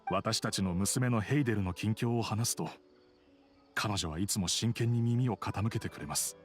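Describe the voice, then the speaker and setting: A man's voice: on the low side, quick pace, faint music behind, close to an everyday voice. A man playing an earnest, polite and devoted middle-aged family man in a video game, a little wistful.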